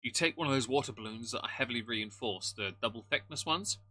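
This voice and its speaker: A man's voice: mid-range voice, quick pace, sharp tone, husky. A man co-hosting live reaction commentary, blunt, sarcastic and scornful, with crude humour. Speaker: live stream commentary co-host.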